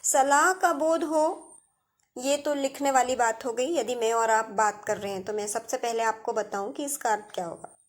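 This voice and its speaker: A woman's voice: a little high, moderate pace. A woman with an Indian accent giving a step-by-step grammar lesson as a teacher, addressing her students directly.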